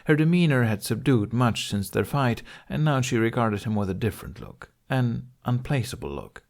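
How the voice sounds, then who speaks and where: A man's voice: medium pitch, lively intonation, normal speed, vocal fry, darkish tone. A man reading a scripted fantasy story as an audiobook narrator.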